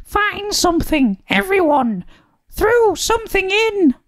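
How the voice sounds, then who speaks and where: An excitable female witch from a children's storybook. The voice is shrill and extremely high, swooping widely with a theatrical lilt. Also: slow pace, no echo, clean studio sound, exaggerated voice. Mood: gleeful, spellcasting.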